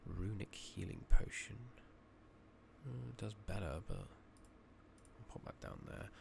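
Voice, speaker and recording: A man giving soft-spoken, partly whispered ASMR commentary on a game, muttering and thinking out loud, recorded close on a makeshift mic. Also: on the low side, lively intonation, slow, gravelly. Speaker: game streamer narrating a playthrough. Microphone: close makeshift microphone. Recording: noisy makeshift mic with clicking.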